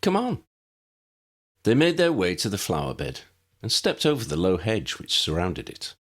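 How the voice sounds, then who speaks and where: A deep-voiced man narrates an adventure audiobook. His delivery swings widely in pitch, and the voice has a husky, gravelly grain throughout. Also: huge pitch swings, moderate pace. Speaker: audiobook narrator, fan fiction author. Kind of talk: scripted story narration with character dialogue.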